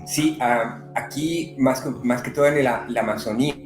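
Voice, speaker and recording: A man from Colombia, a medium and psychotherapist, speaking unhurriedly with many pauses in an interview. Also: medium pitch, reverberant, over music. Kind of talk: conversational interview. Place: living in Brazil.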